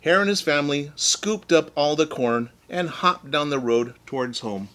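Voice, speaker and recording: A man reading a storybook aloud as a teacher, speaking deliberately and simply to young children. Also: on the high side, a bit bright.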